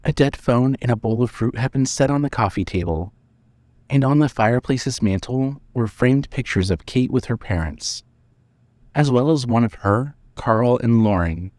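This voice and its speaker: A man narrating a scripted dramatic story in a measured reading manner. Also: medium pitch, expressive, darkish tone, no echo.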